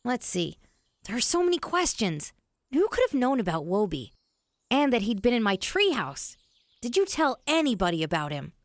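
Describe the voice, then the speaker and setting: Sceptical kid boy in a family radio play, high voice, really dry sound. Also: very animated, moderate pace, no echo. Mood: matter-of-fact. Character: Ben, young boy, practical, sceptical amateur sleuth. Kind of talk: scripted family audio drama.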